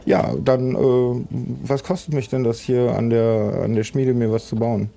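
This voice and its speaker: A man's voice: medium pitch, fairly even intonation, unhurried, creaky, dark tone. A man playing along in an improvised adventure audio play, conversational, polite and hesitant. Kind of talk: improvised in-character roleplay, conversational. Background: faint music.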